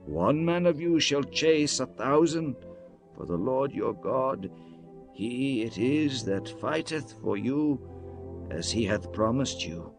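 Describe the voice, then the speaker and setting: A man performs an aged Hebrew leader addressing his people in a dramatized scripture reading. He speaks very slowly and solemnly, swelling with emphasis and dropping to grave hushed tones. Prominent music plays beneath the oratory. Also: mid-range voice. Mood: stern exhorting. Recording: music under the voice throughout.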